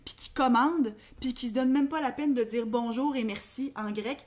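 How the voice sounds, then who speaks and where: A young woman with a Québécois accent, co-hosting a casual podcast chat, talking quickly with lots of fillers. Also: on the high side, fairly even intonation, darkish tone. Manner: chatty, quick, colloquial, many fillers. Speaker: podcast co-host.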